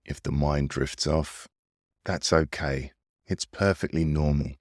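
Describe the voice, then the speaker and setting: Very deep-voiced man guiding meditation. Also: no echo, clean studio sound. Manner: soothing, gentle, unhurried instruction. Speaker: meditation guide and mindfulness coach. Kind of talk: guided breathing meditation narration.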